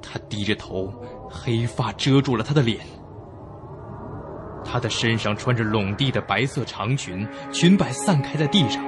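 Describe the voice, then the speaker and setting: Young male narrator-character, played by a man, horror radio play: suspenseful, animated intonation, loud music underneath. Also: mid-range voice, close to an everyday voice. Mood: horrified. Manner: suspenseful, theatrical storytelling. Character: frightened young male traveller telling his story. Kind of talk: dramatic first-person horror narration, radio drama. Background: loud background music.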